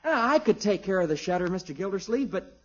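An actor playing an earnest, politely nagging young son-in-law, deferential and hesitant, in 1950s radio comedy style. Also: male voice, high-pitched, lively intonation, fast. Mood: deferential, hinting. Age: young adult. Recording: muffled old radio recording.

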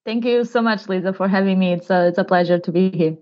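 A woman from Portugal, a native Portuguese speaker with a Portuguese accent, answering interview questions in a conversational, halting way. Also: mid-range voice, darkish tone, highs cut off. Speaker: podcast guest, tech transfer professional.

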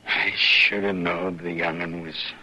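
An actor playing a stubborn, possessive rustic husband in a radio western, with slow, folksy speech. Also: male voice, low voice, little pitch movement, bright, forceful tone, heavily acted. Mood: sheepish. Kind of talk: 1950s radio western acting. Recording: noisy old radio recording.

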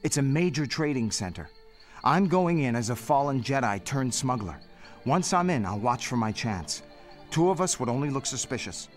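An actor playing a headstrong young Jedi knight, earnest and intense, in an audio drama. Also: male voice, mid-range voice, expressive, moderate pace, soft background music, close to an everyday voice. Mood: confident.

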